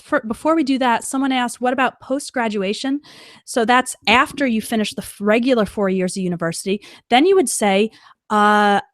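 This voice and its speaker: A woman teaching an English lesson on a live stream, explaining clearly and patiently and checking in with "okay.". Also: medium pitch, no echo, studio quality. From United States.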